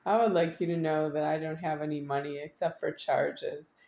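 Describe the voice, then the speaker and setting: Echoey, low-voiced woman rambling. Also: steady tone, moderate pace, mellow, a little hiss or hum. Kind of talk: rambling unscripted monologue to an audience. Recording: echoey room.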